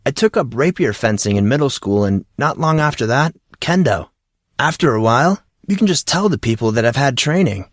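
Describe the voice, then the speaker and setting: A man acts out a teenage boy for an audiobook, an enthusiastic martial arts student. He talks in loose, slangy bro-talk with lively swings in pitch. The sound is dry and close. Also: fairly quick, no room sound, lightly acted. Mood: casually impressed. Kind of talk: audiobook character dialogue.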